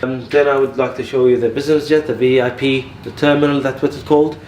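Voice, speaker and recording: A man giving an explanatory facility tour as head of air traffic control, in a halting delivery. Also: medium pitch, flat delivery, darkish tone. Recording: echoey, distant mic, faint background noise.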